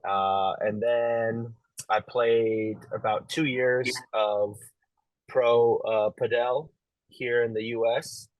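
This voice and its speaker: A young man from Las Vegas, a pro pickleball player, chatting as an interview guest in a relaxed, easygoing way. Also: mid-range voice, slow, no room sound, lo-fi sound. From Las Vegas, USA. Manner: relaxed, easygoing, lots of fillers and light laughs. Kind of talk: casual interview talk.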